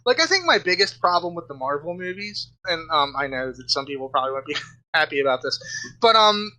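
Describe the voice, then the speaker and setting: A man's voice: fairly high pitch, big pitch swings, a little fast, sharp tone, low-quality recording. A man chatting casually on a panel podcast, giving opinions in colloquial language.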